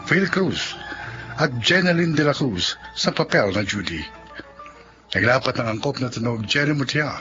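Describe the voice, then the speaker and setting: A man's voice: medium pitch, dull audio. A man with a Filipino accent reading scripted narration as a radio drama announcer. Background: music.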